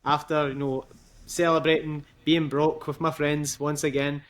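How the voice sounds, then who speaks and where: A man's voice: on the high side, little pitch movement, moderate pace. A young man with a Scottish accent, a guest in a casual interview, talking in a chatty way with frequent laughs and fillers. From Scotland.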